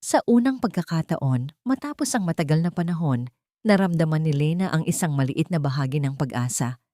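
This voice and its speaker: Low-voiced woman narrating a dramatic story. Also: animated, a little fast, mellow, no room sound, clean studio sound.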